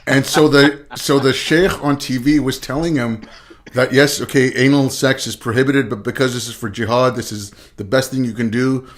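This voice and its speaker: A man's voice: mid-range voice, steady tone, normal speed. A man born in India and raised in Canada, a guest talking casually and irreverently, piling up examples. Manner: chatty and irreverent, piles up examples. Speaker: guest, comedy video maker. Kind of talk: casual conversational interview.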